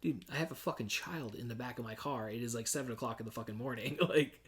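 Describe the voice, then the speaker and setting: A man's voice: mid-range voice, fast talker. A man in his forties hosting a podcast, telling stories in a loose, laid-back way, laughing often and peppering his talk with swearing and "dude". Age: 40s. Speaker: podcast host. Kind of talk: casual chat.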